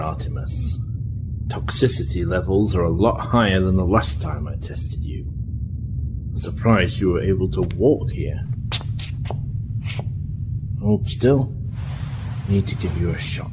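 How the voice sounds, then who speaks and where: Sci-fi audio drama doctor, played by a man: low male voice, clinical and firm, over clear background noise. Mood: concerned, clinical. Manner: measured, admonishing, clinical. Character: starship doctor, patient but firm, scolding man. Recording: clearly noisy recording with background ambience.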